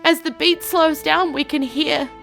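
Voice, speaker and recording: A woman narrating an audio drama in a measured, ominous storytelling manner. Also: high-pitched, vocal fry, fairly bright tone, soft background music. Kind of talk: slow, dramatic scripted narration.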